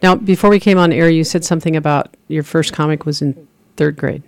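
Gravelly-voiced male morning radio host. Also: on the high side, fairly even intonation, no room sound, studio quality. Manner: friendly, halting with uhs. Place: radio studio.

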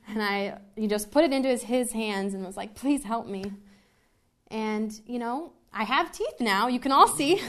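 A woman telling an earnest, laugh-filled personal story to a live audience. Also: mid-range voice.

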